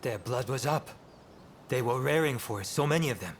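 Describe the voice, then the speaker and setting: An actor playing a stern, proud samurai in a video game cutscene, speaking in a formal, blunt way. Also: male voice, animated, brisk, close to an everyday voice. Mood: grave. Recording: rumbling background noise.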